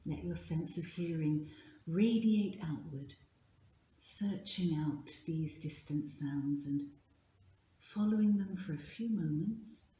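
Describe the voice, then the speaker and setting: A woman leading a guided yoga nidra meditation in a gentle, soothing, hushed manner, leaving long pauses. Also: deep voice. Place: indoor room at a retreat, live session. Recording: roomy echo, faint background noise.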